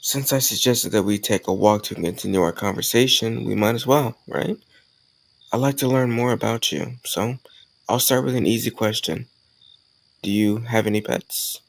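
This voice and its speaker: A man playing an easygoing male college student who chats casually and teases gently in an intimate romantic audio roleplay. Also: on the low side, moderate pace, gravelly. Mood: friendly, teasing. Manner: casual, conversational, gently teasing.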